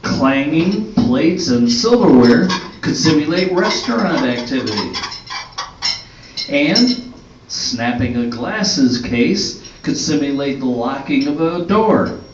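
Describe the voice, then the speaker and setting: A man reading a scripted introduction to an audience as announcer of an amateur radio play, with deliberate pauses. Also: mid-range voice, slight background noise. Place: live stage at an amateur arts festival. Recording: very echoey hall, distant muffled microphone.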